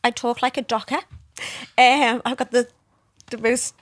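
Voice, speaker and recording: A woman's voice: on the high side, a little slow, no room sound. Brash Scouse woman telling it straight. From Liverpool, England. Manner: blunt, animated storyteller, acts out voices. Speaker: guest, entrepreneur. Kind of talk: casual interview chat.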